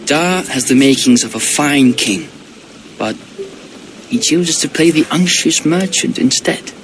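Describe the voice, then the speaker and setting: An actor playing a cocky, hot-headed young Viking prince with a brash manner in a video game. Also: male voice, on the high side, lively intonation, a little slow, background noise. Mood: earnest. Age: young adult. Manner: taunting, brash. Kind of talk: dramatic video game cutscene acting.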